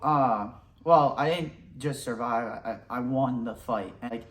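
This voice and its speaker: A young man hosting a mock survival show outdoors, talking in a deadpan, boastful, rambling way. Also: medium pitch, a little slow. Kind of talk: deadpan comedic parody narration. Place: outdoors in nature.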